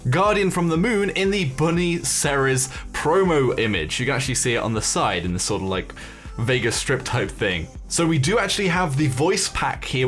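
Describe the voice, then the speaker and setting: A man's voice: mid-range voice, fairly bright tone. Man doing chatty game commentary over music. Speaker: gaming video host.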